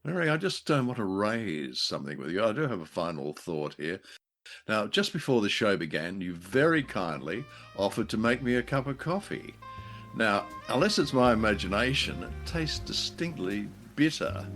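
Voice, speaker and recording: A man co-hosting a podcast in casual, unscripted discussion, with halting, hesitant phrasing. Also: fairly low pitch, big pitch swings, fairly bright tone, soft background music. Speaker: podcast co-host.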